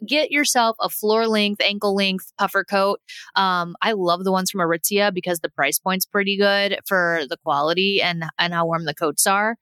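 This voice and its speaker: A woman's voice: mid-range voice, fairly bright tone, clear, resonant tone, dry recording. A woman co-hosting a podcast, giving casual, chatty and opinionated advice in an informal way.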